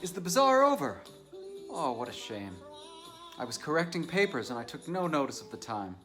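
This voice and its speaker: A man plays an apologetic, absent-minded academic. His pitch moves about freely, and music is clearly heard behind this dramatized novel reading. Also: big pitch swings, moderate pace.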